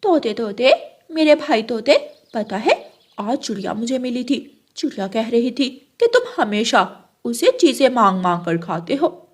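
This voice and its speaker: Cartoon mother crow , female performer: high-ish voice, scheming gossip, bossy and insinuating. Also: animated, unhurried. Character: spiteful, lying mother crow, gossiping slyly.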